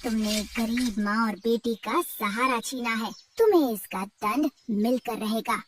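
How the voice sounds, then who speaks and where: Woman doing a holy cartoon mother cow, preachy and solemn, kinda high, little far from mic. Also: measured pace, breathy edge. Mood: stern, scolding. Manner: solemn, moralizing, declamatory. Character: righteous, divine mother cow who blesses and punishes. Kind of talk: cartoon story voice acting.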